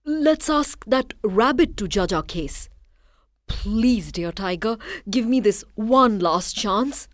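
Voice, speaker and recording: Frightened man, hushed and pleading, voiced by a woman. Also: medium pitch, expressive, slow, no echo, studio quality.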